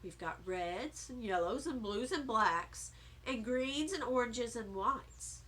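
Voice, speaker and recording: A woman's voice: fairly high pitch, lively intonation, slow pace, a bit bright, voice pushed down. A woman voicing a friendly, easygoing dad who patiently explains a party game in a children's story. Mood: calm, encouraging. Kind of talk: children's audiobook character voice.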